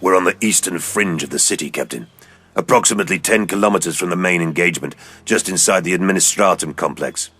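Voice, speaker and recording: A male narrator acts out a grizzled sci-fi sergeant for an audio drama. The voice is extremely low and husky, stern and gruff, with terse, soldierly gravity. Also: quick pace, bright, forceful tone, exaggerated voice. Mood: terse, dutiful. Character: gruff, stern veteran space marine scout sergeant. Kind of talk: audio drama character acting.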